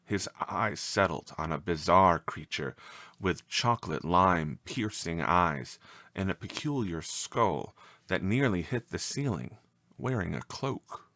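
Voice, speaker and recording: A man reading a scripted story as narrator, with an expressive, measured and dramatic delivery. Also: low-pitched, no echo.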